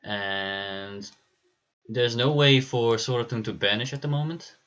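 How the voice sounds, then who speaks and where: A man hosting a livestream and commentating a card game match, relaxed and laughing easily, with frequent pauses. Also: medium pitch, muffled recording. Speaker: stream host and caster.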